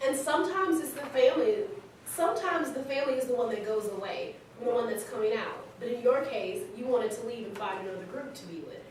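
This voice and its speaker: A woman's voice: on the high side, fairly quick, a little mellow, close to an everyday voice. A woman playing a candid, informal thirty-something daughter reminiscing conversationally in a staged reading. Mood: teasing, candid. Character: candid, teasing thirty-three-year-old suburban daughter. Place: live staged reading in a large room. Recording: echoey, noisy hall from far off.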